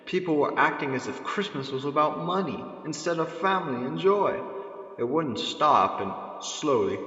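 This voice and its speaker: Booming theatrical old Santa Claus played by a man, echoey hall. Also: pushed and bright, full character voice. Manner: theatrical, declaiming. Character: old forgotten Santa Claus turned bookstore owner, booming and earnest. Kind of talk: radio drama acting. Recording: echoey hall, dull muffled sound.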